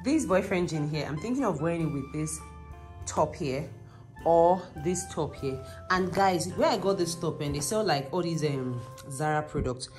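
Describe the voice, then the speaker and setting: Low-voiced young Nigerian woman vlogging. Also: moderate pace, a little mellow, faint music behind. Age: young adult. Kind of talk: casual travel vlog chat. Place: hotel rooms, indoors. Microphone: phone at arm's length.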